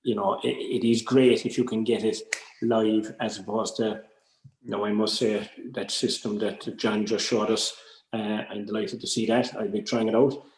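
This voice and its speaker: A man's voice: on the low side, flat delivery, normal speed, darkish tone. An Irish man explaining his suggestions in casual chat, on roomy, echoing call audio. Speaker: workshop speaker offering suggestions.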